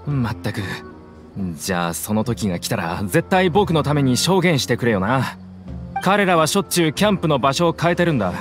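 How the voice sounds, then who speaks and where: A man's voice: mid-range voice, big pitch swings, brisk, lightly acted. A man voicing a jumpy shifty young smuggler. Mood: nervous. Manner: jumpy, pleading and evasive. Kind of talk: Japanese game dub. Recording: game audio with loud music behind.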